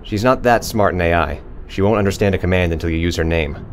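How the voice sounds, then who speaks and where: A man's voice: low voice, fast, background noise, lightly acted. A man acting in an audio drama as a bitter, scarred spaceship crewman, dry, cynical and self-deprecating.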